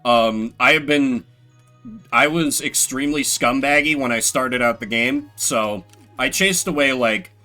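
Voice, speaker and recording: A man's voice: mid-range voice, measured pace, sharp tone, soft background music. A man doing solo video game commentary, reading game text aloud dramatically and adding sardonic, rambling asides. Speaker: game streamer.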